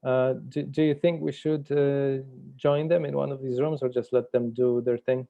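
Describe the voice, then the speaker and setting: Guy hosting an online meeting, gravelly fry, kinda hesitant, bit lo-fi video call sound. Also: medium pitch, level tone, moderate pace, mellow. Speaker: workshop host and online meeting organiser. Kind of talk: casual chat, coordinating an online workshop. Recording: dull, muffled video call audio.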